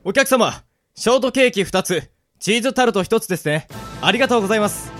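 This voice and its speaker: Guy doing a high whiny young cake seller, listless type, swoopy radio drama lines over music. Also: expressive, fast, fairly bright tone. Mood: polite, selling. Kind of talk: amateur Japanese radio drama acting.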